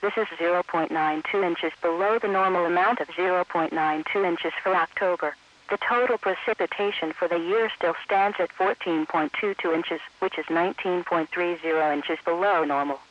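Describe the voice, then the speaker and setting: High-pitched synthetic male weather announcer. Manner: measured, impersonal synthetic reading. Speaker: automated weather radio announcer. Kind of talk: scripted weather bulletin narration. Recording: dull lo-fi weather radio stream.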